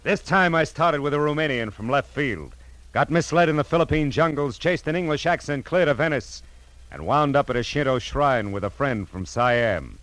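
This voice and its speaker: A man's voice: mid-range voice, animated, normal speed, a bit bright, dry recording, soft background music, lightly acted. A man playing a world-weary, wry private detective in old-time radio noir. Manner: wry, hard-boiled.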